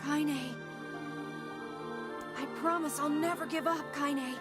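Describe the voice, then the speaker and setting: A woman voicing a gentle, earnest and polite young boy in a video game. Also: sits high, steady tone, slow pace. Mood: earnest, emotional. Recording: loud music over voice. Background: loud game music.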